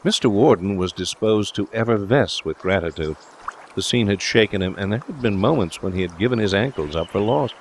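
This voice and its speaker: A man's voice: lowish voice, animated, a little fast, a little hiss or hum. A man reading scripted literary narration as an audiobook narrator.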